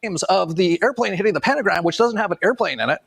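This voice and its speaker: High-pitched gravelly man, casual commentator. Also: animated, quick pace, a bit bright, no echo. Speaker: online commentator.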